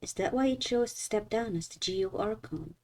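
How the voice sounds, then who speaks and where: Earnest young traveler, played by a woman, medium pitch. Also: normal speed, darkish tone, close to an everyday voice. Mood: concerned. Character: young female traveler, earnest, searching for her brother. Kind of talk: video game dialogue.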